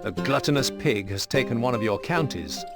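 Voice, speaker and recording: A man's voice: mid-range voice, animated, normal speed, natural voice. A man narrating a military campaign in a video game, formal and solemn, with scripted drama. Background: loud guitar music.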